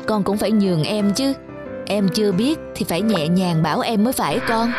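A gentle young mother in a children's cartoon, acted by a woman, with a mid-pitched voice. She speaks tenderly and corrects softly, over clearly audible music. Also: a little fast, natural voice. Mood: gently chiding. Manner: affectionate, softly admonishing. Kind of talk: animated children's moral tale dubbing.

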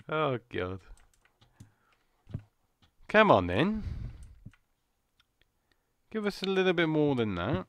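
A man with a slightly croaky voice, rambling and jumpy as he comments on a horror game. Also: a little high, big pitch swings, extremely slow, long pauses, soft background music. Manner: rambling, jumpy, muttering to himself. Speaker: gaming channel host. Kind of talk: casual horror gameplay commentary.

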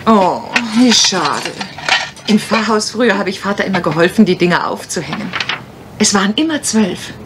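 A woman's voice: medium pitch, lively intonation, moderate pace, close to an everyday voice. A woman playing a playful, confident young lady detective in a German radio crime drama. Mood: chiding, fond. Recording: noisy old radio play recording.

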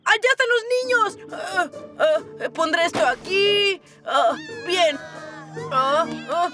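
Clumsy, eager little knight: extremely high, slow and plaintive with effort, loud music behind. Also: female voice, expressive, exaggerated voice. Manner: groaning, plaintive. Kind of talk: children's TV show dub acting.

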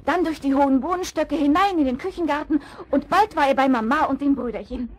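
A woman playing an aunt who tells a children's tale in a radio play, acting out the figures with lively energy. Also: high voice, brisk. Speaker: storyteller aunt. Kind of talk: storybook narration in a radio play. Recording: faint hiss of an old vinyl record.

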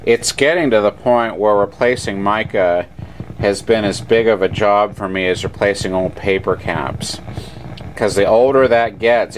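A man giving casual, matter-of-fact hands-on commentary at a workbench, a little halting as he explains, picked up by a handheld camera microphone. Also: low-pitched. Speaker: radio repair hobbyist and narrator. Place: indoor electronics workbench. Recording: noisy room with radio hiss, handheld camera. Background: test radio noise and hum.

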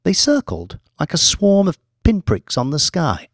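A man reading a scripted audiobook story in a measured, deliberate storytelling manner. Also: fairly high pitch, wildly swooping pitch, no room sound, studio quality. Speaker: audiobook narrator, author reading own book.

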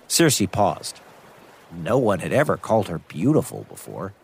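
A man's voice: sits low, wildly swooping pitch, unhurried, vocal fry, a little hiss or hum. A man narrating a children's myth as storyteller, in a playful, conversational way. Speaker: storyteller host.

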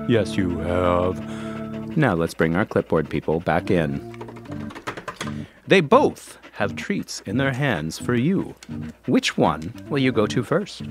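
A man playing a theatrical narrator of a children's play, speaking in a deliberate, mock-grand showman's way. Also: medium pitch. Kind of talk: scripted kids' play narration.

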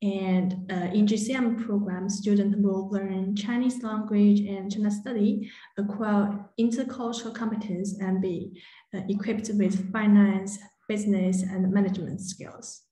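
A woman presenting a university program in a webinar over a video call, pausing often with fillers. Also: medium pitch, flat delivery, mellow, reverberant, low-quality recording. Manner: hesitant, many "uh" fillers. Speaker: admissions presenter.

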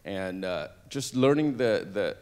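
A middle-aged man from Cambodia, a native Cambodian speaker, telling his life story on a church stage into a handheld mic, halting with many fillers and restarts. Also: on the low side, animated, darkish tone, smooth. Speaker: interview guest. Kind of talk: personal testimony on stage.

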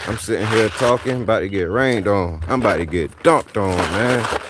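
A man in his thirties talks into an action camera outdoors, rambling and laid-back, with lots of "man" thrown in. His voice sits fairly low. A faint hum of outdoor noise stays behind him. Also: normal speed. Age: 30s. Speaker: livestream host. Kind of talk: casual motivational livestream talk. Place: outdoors in a backyard driveway.

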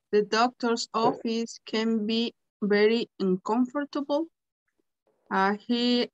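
Adult woman learner, Spanish native, halting reading, slow and muffled. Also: a little high. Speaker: English student. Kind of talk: reading aloud in an online English lesson.

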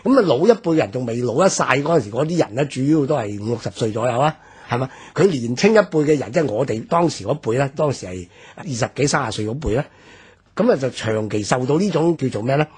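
Man, 60s, Hong Kong Cantonese accent, husky, lively intonation, reflective radio talk. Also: medium pitch, quick pace. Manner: reflective, recounting personal memories. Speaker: radio commentator.

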